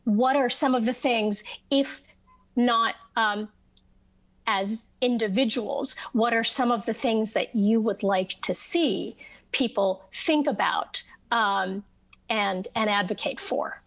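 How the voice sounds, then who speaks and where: A woman moderating a virtual panel over a video call, speaking haltingly with many ums and restarts. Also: fairly high pitch. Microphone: webcam on video call.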